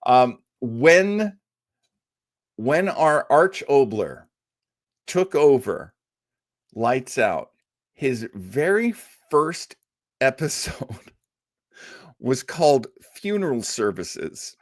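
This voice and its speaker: A man's voice: medium pitch, very animated, drawn-out words, pauses often, no echo, studio quality. A man speaking as a radio show host, giving a casual, rambling live introduction like an enthusiastic, chatty storyteller. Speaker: host of an old-time radio listening show.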